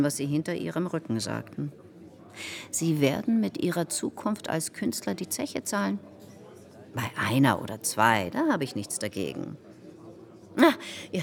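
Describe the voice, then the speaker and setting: A middle-aged actress playing a domineering, snobbish society hostess who gossips insistently in a radio drama. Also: female voice, sits low. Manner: insistent, insinuating, emphatic. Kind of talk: theatrical radio drama dialogue.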